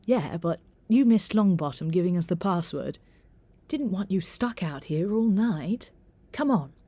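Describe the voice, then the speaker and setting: A woman's voice: on the low side, expressive, a little slow, warm tone, dry recording. A woman voicing an easygoing, friendly young boy with a casual, matey manner in an audiobook. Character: friendly, easygoing eleven-year-old schoolboy.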